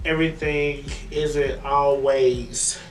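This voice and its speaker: A young man interviewing a musician in a relaxed, chatty way with many fillers. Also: medium pitch, takes it slowly, slight background noise. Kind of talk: casual interview chat. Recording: echoey room, distant mic, faint music.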